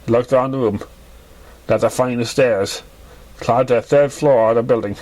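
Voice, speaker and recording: Guy reading a fan story out loud, mid-range voice, scripted narration. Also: medium pitch, steady tone, moderate pace, rough recording.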